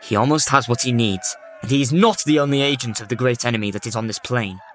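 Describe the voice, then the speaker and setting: A man plays an earnest fantasy hero with a medium-pitched voice and a grave, urgent delivery. There is faint noise in the recording. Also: fast talker, a bit bright, lightly acted. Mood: solemn urgency. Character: earnest, urgent male hero warning of great evil. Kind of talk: fantasy audio drama acting.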